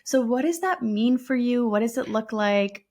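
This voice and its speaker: A woman's voice: a little high, animated, normal speed. A woman hosting a casual interview podcast, asking questions and affirming her guest often. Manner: warm affirming interviewer, frequent agreeing "yeah". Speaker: podcast host.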